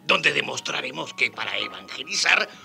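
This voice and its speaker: An actor playing a wry, conciliatory Dominican friar in a radio drama, speaking in a theatrical, emphatic way. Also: male voice, mid-range voice, sing-song, moderate pace, gravelly, pushed and bright, soft background music, heavily acted. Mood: persuasive.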